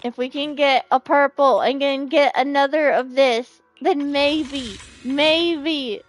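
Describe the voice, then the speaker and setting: A woman chatting casually as she livestreams a game, with a playful, expressive way of talking that readily turns to pleading and exclaiming. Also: high-pitched, slow. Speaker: game streamer. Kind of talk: casual livestream chat over gameplay. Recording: music behind the voice. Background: music.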